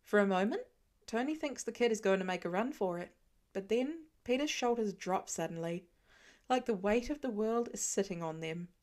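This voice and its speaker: A woman narrating a fan story aloud in an expressive storytelling manner. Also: medium pitch, normal speed. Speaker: podfic reader. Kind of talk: audiobook fan reading.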